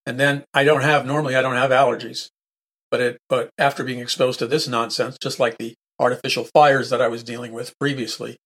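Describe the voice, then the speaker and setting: Hoarse man preaching on talk radio. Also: mid-range voice, level tone, a little fast, fairly bright tone. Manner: emphatic and repetitive, restating points. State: husky, hoarse throat. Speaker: radio show host.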